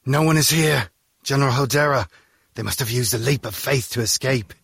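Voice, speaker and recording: A man's voice: mid-range voice, brisk, gravelly, a bit bright, husky. A man playing a brutish, eager alien soldier in an audio drama, barking aggressively.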